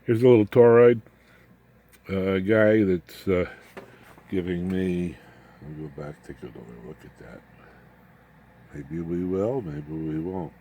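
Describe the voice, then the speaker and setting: A man's voice: low-pitched, steady tone, slow pace, long pauses, warm tone, slight background noise. A man giving an informal, unscripted technical walkthrough of a home-built electronics project in a folksy, jokey, rambling way. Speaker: amateur radio hobbyist presenting his home-built project.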